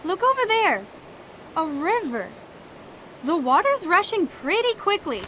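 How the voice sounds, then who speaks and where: A woman's voice: very high voice, sing-song, unhurried, sharp tone, slight background noise, heavily acted, low-quality recording. A woman playing a curious, eager young twin adventurer in an audio drama. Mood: observant.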